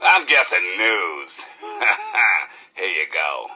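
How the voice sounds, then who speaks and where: Game radio DJ: American, cheeky rebel, hyped patter, low-ish voice with lively swoops. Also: male voice, drawn-out words, slightly rough, dull audio, exaggerated voice. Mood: hyped, playful. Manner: streetwise, playful, theatrical.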